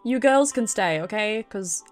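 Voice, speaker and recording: A woman's voice: medium pitch, expressive, unhurried, faint music behind. A British woman doing casual, chatty game stream commentary, swearing freely and reacting aloud as she plays.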